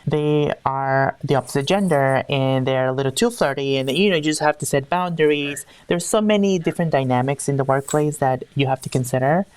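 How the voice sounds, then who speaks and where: A woman's voice: rumbling low, brisk, hardly any pauses, a little mellow, clear, resonant tone. A woman from Peru, an HR executive speaking earnestly as an interview guest, often restarting her sentences. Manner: earnest, searching for words with restarts. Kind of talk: conversational interview.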